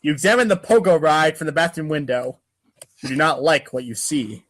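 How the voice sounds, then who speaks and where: A man's voice: fairly high pitch, fairly quick. A man narrating a comedic readers' theater piece in a mock-serious, theatrical way.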